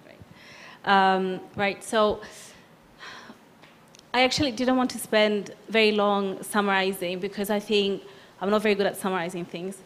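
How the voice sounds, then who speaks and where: A woman with a Cypriot Greek accent speaks as a panelist in a conference hall, her pitch in the ordinary middle range. She talks in loose, quick bursts, thinking aloud with fillers. A faint hum of the room lies behind her. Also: fairly even intonation. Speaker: panelist, business consultant.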